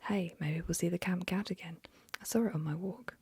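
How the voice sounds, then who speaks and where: A woman's voice: lowish voice, fairly quick, a little mellow, breathy edge, no echo. A woman whispering the lines of a shy young woman at summer camp.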